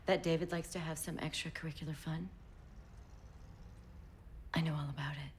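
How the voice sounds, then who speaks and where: A sardonic, worldly wife played by a woman with a low voice. She is wry, frank and unruffled, in period TV drama style, with faint noise around her. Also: fairly even intonation, moderate pace, natural voice. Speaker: actor.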